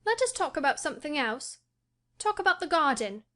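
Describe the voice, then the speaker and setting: Woman narrator voicing a spoiled invalid boy of ten, high-pitched, imperious and cross. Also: big pitch swings, fairly quick, fairly bright tone. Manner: demanding, cross and indifferent. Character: sickly spoiled ten-year-old boy, fretful and imperious. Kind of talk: audiobook character voice.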